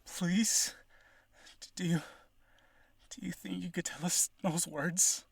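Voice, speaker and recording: A man's voice: high voice, fairly even intonation, takes it slowly, gravelly, breathy edge, no room sound, studio quality, heavily acted. A man playing a rich, devoted vampire aristocrat in a roleplay audio, earnest and pleading, with gushing, hesitant speech. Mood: shy, pleading.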